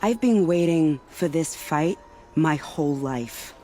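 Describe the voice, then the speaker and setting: Sly game spy, fierce streak, dramatic, lower register, soft music behind. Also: female voice, lively intonation. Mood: fierce resolve. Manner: arch, dramatic, measured. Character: sly, poised woman spy, playful yet fiercely vengeful. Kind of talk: video game cutscene acting.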